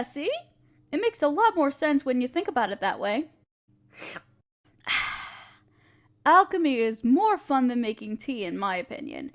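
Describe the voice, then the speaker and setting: High-voiced, encouraging alchemist woman acted out by a woman. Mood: friendly, enthusiastic. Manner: gentle, patient, reassuring teacher. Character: kind, encouraging female alchemist teaching her apprentice. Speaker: voice actor in a scripted audio roleplay. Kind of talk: intimate scripted roleplay, one-sided conversation with listener.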